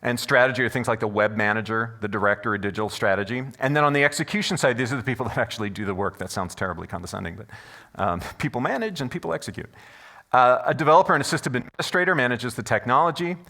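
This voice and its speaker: Fast, bright-voiced male conference speaker. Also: medium pitch. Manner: loud, animated presenter. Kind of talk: conference presentation. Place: conference room before an audience. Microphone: stage microphone.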